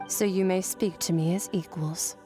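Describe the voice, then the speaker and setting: A woman voicing an aloof, blunt master swordswoman in a video game, speaking in a deadpan, matter-of-fact way. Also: fairly low pitch, moderate pace, faint music behind, natural voice. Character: aloof, blunt female master swordswoman, coolly self-assured. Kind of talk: scripted video game dialogue.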